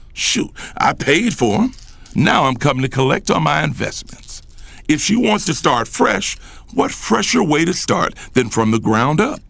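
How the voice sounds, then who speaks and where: A man's voice: a little high, gravelly, a bit bright, no echo, heavily acted. A man playing a vindictive, controlling businessman and boss, narrating an audio drama in first person with a stern manner.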